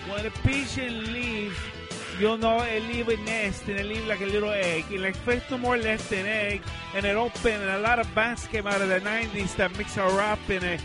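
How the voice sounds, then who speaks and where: A man's voice: high voice, fairly even intonation, normal speed. A man, rambling goofily and mock-singing in a comic radio bit. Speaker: radio show regular. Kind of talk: comic parody of a song over music. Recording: loud music behind the voice.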